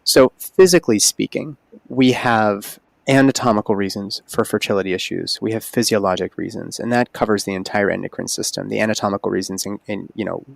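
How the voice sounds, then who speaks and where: A man's voice: lowish voice, brisk, vocal fry, hardly any pauses, no room sound. A man speaking as a holistic OB-GYN guest on a podcast, explaining earnestly in casual conversation. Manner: earnest, explanatory, animated emphasis.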